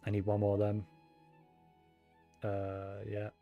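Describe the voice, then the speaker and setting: Guy streamer thinking out loud, casual and rambly, game music in the back. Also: completely flat delivery, slow pace, narrow, tinny audio. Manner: rambling, low-key, thinking aloud. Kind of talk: casual livestream chat over gameplay.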